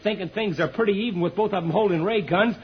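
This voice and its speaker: An actor playing a plain-spoken, loyal spaceship engineer in an old-time radio serial, folksy and excitable. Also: male voice, high voice, fast talker, lightly acted. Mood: alarmed, urgent. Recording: dull, hissy old radio recording.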